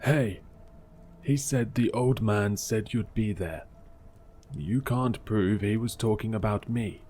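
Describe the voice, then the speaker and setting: A man voicing a gruff, stubborn old male shopkeeper who answers bluntly in a low, slow drawl. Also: dark tone, slight background noise. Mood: defensive.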